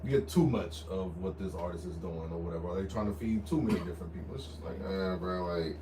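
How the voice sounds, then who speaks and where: A man's voice: low voice, fast talker, dark tone. A man chatting casually on a podcast, giving his opinions in a tired, exasperated way. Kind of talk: casual chat. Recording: roomy sound, distant mic, background noise.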